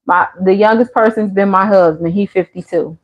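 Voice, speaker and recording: A woman, chatting easily and answering viewers as she streams. Her voice sits at an ordinary pitch, and the sound is a little dull and lo-fi. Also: normal speed. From Chicago area. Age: 50s. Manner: laid-back, chatty, answers viewers' comments. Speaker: channel host. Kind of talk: casual live-stream chat.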